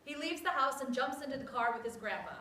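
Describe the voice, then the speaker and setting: A woman's voice: high voice, fast talker, sharp tone. A woman narrating stage directions at a read-aloud of a script. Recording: echoey room, faint background noise.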